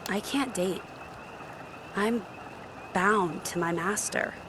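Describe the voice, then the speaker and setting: An actress playing a guarded, sarcastic genie bartender in a video game, blunt and casual. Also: female voice, mid-range voice, slow, noisy background, lightly acted. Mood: bitter. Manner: blunt, dry, sassy. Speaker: video game romance character. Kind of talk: scripted game dialogue, casual.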